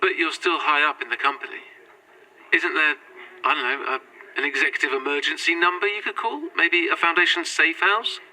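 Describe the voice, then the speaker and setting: A man's voice: on the high side, normal speed, pushed and bright, low-quality recording. A man acting an anxious, polite young employee on the run in a found-footage audio drama, hesitant, stammering and apologetic. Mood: questioning. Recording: faint noise, found-footage recorder.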